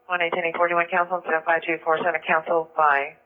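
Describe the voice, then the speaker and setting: High, flat police dispatcher, tinny radio. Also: male voice, quick pace. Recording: muffled, phone-like police scanner radio.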